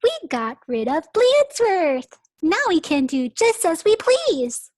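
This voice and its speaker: A woman voicing an excited, rowdy class of young children in a high, gleeful, squealing voice. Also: slow pace, no room sound, studio quality, heavily acted. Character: excited rowdy schoolchildren, mixed group.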